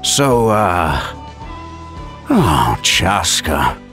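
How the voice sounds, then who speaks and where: An actor playing a worried, gentle middle-aged father in a game, speaking haltingly and earnestly in a husky, gravelly voice. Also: low-pitched, big pitch swings, faint music behind, heavily acted. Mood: apologetic. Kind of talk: dramatic game voice acting.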